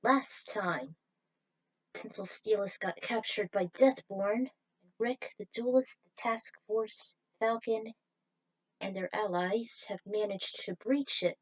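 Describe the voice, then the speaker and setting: A woman reads her own fan story aloud in a relaxed, conversational way. Her voice sits at an ordinary middle pitch, and she stops now and then to correct herself before carrying on. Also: takes it slowly, poor audio. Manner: casual, chatty recap with self-corrections. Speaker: fan fiction reader and narrator. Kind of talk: recapping and reading a fan story.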